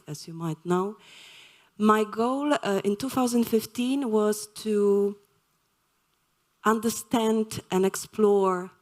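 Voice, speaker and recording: A woman from Poland giving a live lecture, speaking slowly and hesitantly with many fillers. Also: mid-range voice, a little mellow. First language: Polish.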